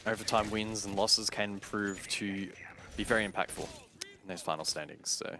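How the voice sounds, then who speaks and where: A man's voice: lowish voice, normal speed, noisy background. A man giving live esports colour commentary, breaking down tactics and odds and lifting sharply on big plays. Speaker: esports analyst caster.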